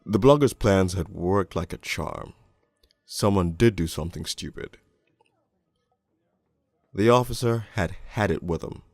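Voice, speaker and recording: A man playing a crude, cynical police detective, narrating a crime storybook in the first person in a gravelly, world-weary, deliberate way. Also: sits low, no room sound. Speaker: narrator and lead voice actor in an animated storybook.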